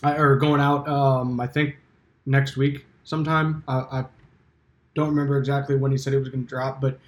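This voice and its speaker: A man co-hosting a casual podcast, a little tired, speaking haltingly with lots of fillers. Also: medium pitch, little pitch movement. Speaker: podcast co-host. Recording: roomy echo.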